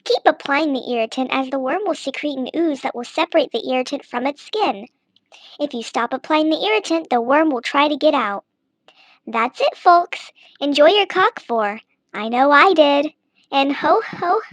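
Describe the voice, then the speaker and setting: A woman's voice: high voice, dry recording. A woman casually reading a how-to guide aloud in a mock-instructional manner. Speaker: narrator reading an online text aloud.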